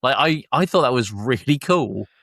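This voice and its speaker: A man's voice: mid-range voice, animated, normal speed, fairly bright tone, no echo, clean studio sound. A man hosting a podcast, recapping and discussing in a casual, conversational way. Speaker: podcast host.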